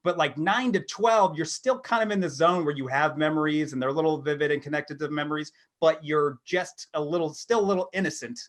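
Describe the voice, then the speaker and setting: Higher-voiced man chatting in halting rambles. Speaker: podcast co-host. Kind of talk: casual chat.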